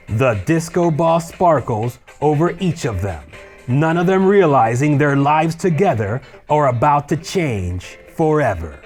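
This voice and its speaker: A man narrating the stage directions of a screenplay as a scripted read. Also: fairly high pitch.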